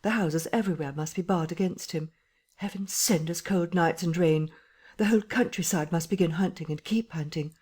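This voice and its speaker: A woman's voice: lowish voice, moderate pace, a little mellow, breathy edge, pitched above the performer's own voice. A woman reader voicing an agitated man who urgently presses the police to act, speaking in breathless commands.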